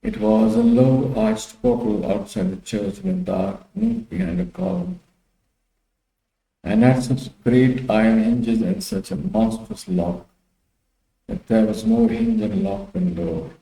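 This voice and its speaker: A man's voice: a little high, lively intonation, unhurried, creaky, mellow, echoey room, poor audio. A man narrating a classic literary story as a dramatic audiobook reading.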